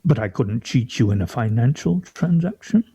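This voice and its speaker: A man's voice: mid-range voice, lively intonation, moderate pace, dark tone, husky, highs cut off. An actor in a radio play voicing a pompous, sardonic man who speaks in grandiose, mock-serious style. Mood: needling. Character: pompous, sardonic, theatrical man at a scrabble game.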